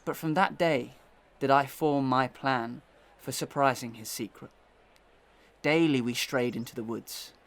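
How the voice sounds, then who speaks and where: A determined gentleman played by a man in a Victorian audio melodrama. His voice sits at a middle pitch, with formal, methodical phrasing and a dramatic storyteller's weight, full of suspicion. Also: a little slow. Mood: suspicious, dramatic.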